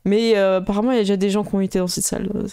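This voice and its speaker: A game master describes the scene with a warm, mellow tone and plenty of pauses and fillers. The voice sits close and dry on the mic, and the delivery stays loose and unhurried. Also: mid-range voice, clean tone, no echo. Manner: relaxed, hesitant narration with many fillers. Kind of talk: narrating a tabletop game.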